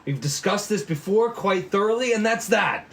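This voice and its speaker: A man's voice: high-pitched, lively intonation, moderate pace, a bit bright, voice pushed up. A man voicing a stern, exasperated police boss in a noir cop drama, brusque and weary. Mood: firm, scolding. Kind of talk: 1940s noir cop drama table read.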